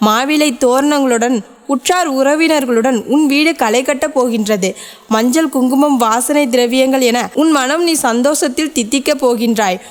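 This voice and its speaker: A woman's voice: high voice, close to an everyday voice. A woman voicing a tender divine mother goddess. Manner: tender, reassuring, solemn, calls the listener dear child. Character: loving divine mother goddess, ageless, protective, comforting. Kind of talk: devotional message to a devotee. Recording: long echoing reverb.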